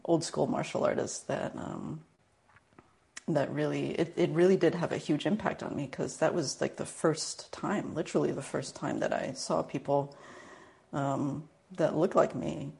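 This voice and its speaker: Low, creaky-voiced American woman. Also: steady tone, measured pace, warm tone. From Northern Virginia, United States. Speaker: guest, martial arts and spiritual teacher. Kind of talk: reflective personal storytelling in conversation.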